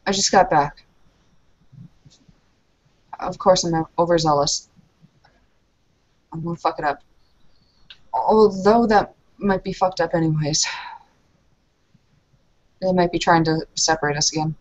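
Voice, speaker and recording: A woman speaking as her character in a tabletop roleplaying session, earnest and pausing to think mid-sentence. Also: on the low side. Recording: muffled lo-fi recording.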